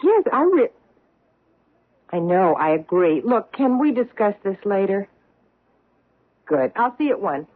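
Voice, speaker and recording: Guarded, animated American society wife. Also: female voice, medium pitch. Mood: defensive. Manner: brisk, defensive, clipped. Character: guarded, proper married society woman hiding her origins. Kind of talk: radio mystery drama acting. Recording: muffled narrow-band old radio broadcast.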